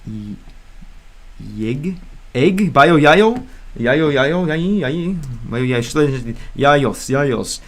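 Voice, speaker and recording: A man's voice: mid-range voice, animated, fairly bright tone. Rambling young American man. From United States. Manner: rambling, halting, lots of fillers and restarts; hesitant beginner Russian with self-corrections. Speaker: streamer learning Russian. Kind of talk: casual livestream chat.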